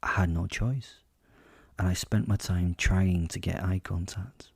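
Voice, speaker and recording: Dark, low-voiced man narrating wryly. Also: steady tone, vocal fry, breathy edge, no echo, studio quality. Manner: measured, wry, dramatic pauses. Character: werewolf telling his life story, wry and boastful. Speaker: narrator and host of a fiction podcast. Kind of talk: first-person dramatic audio story narration.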